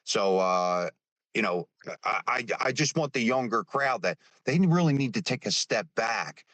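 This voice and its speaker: A man in his fifties giving his views on a live stream, halting and restarting with many fillers. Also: on the low side, animated, fairly bright tone, no echo. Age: 50s. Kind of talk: casual opinion talk.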